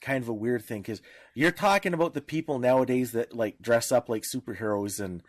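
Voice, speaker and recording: A man's voice: medium pitch, brisk, dry recording, studio quality. A man casually explaining things as a panelist in a podcast discussion.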